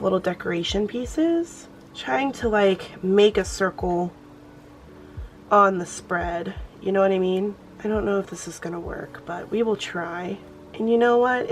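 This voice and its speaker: A woman's voice: medium pitch, a little hiss or hum. A young woman hosting a planner channel, chatting casually and thinking aloud as she crafts, her voice a bit off from a cold. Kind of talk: casual plan-with-me chat.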